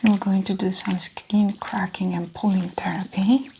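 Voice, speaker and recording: A woman in an ASMR medical roleplay, speaking in a soft, breathy whisper and calmly explaining each step as the examiner. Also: mid-range voice, normal speed, noisy background.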